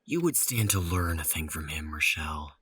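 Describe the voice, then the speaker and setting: A man's voice: rumbling low, animated, moderate pace, a bit bright, breathy edge, no room sound, full character voice. A man playing a stern, condescending office boss in an audio drama, speaking in a scolding, lecturing manner. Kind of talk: audio horror drama acting.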